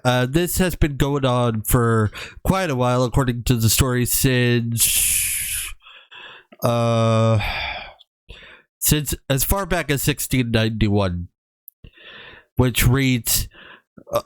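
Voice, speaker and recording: A man's voice: medium pitch, no echo. A man streamer casually reading a horror story aloud, stumbling now and then and adding wry asides. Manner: stumbles over text and corrects himself, wry joking asides. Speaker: streamer, VTuber host.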